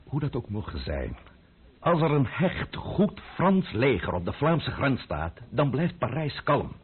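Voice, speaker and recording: Haughty scheming count, very husky, lively swooping delivery, impatient and sardonic, muffled old radio drama. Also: male voice, medium pitch, takes it slowly, full character voice. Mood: lecturing, sardonic. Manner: haughty, lecturing, blustering. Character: imperious, scheming French count and royalist intriguer, impatient, sardonic. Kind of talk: radio play acting. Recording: muffled, narrow-band old radio recording.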